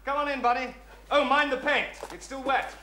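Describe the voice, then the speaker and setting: An actor playing a suave, audacious gentleman thief with an English accent and a breezy, teasing, politely clipped manner in a period drama. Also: extremely high pitch, steady tone, normal speed, slight background noise, rough recording. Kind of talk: period television drama dialogue.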